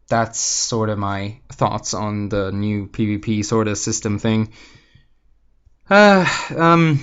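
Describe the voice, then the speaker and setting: A man's voice: on the low side, lo-fi sound. A young man from Slovakia, casually ranting to viewers on his channel, swearing often and rambling candidly. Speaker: gaming channel host.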